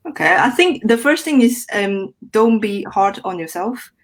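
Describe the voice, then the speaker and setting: A woman's voice: medium pitch, expressive, unhurried. A young woman from Malaysia, a guest engineer in a podcast interview, explaining things in a friendly way with many "um"s and repeated words. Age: young adult.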